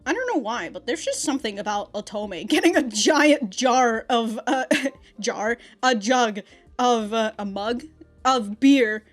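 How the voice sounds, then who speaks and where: A woman's voice: a little high, unhurried, soft background music. A woman giving casual, rambling reaction commentary as a host, with a giggly, slangy way of talking and some swearing. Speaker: anime reaction host and reviewer.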